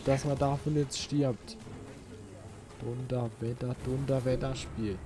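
A man's voice: mid-range voice, fairly even intonation, slow. A man giving casual, grumbling let's play commentary as he plays a video game. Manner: grumbling, mild swearing at the game.

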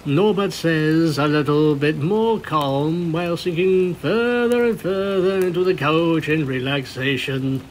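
A man's voice: fairly high pitch, unhurried, hardly any pauses, a little hiss or hum, poor audio. A man narrating a scripted story as a fan fiction reader.

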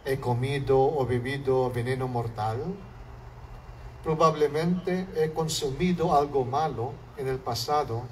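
A priest with a Filipino accent preaches very slowly and deliberately, his phrases sinking at their ends. His voice comes from some distance off the mic at an outdoor altar under a canopy, with a faint hiss of open air behind it. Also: medium pitch. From Philippines. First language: Hiligaynon. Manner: slow, measured preaching with falling phrase ends. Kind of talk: homily. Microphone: far camera, mic at lectern. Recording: distant outdoor recording with faint background noise.

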